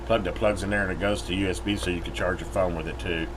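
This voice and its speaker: A man's voice: deep voice, quick pace. An American man giving a casual, unscripted product review, recorded inside a metal building. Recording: clear steady background noise.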